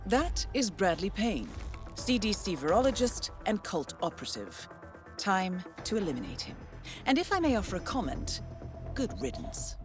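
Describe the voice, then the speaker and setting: A woman's voice: medium pitch, sing-song, lightly acted. Woman acting as composed, authoritative spy handler. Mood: focused, clipped. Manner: formal, measured, articulate. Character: composed, authoritative female intelligence handler briefing an assassin. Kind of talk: scripted video game mission briefing. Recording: background music under the voice.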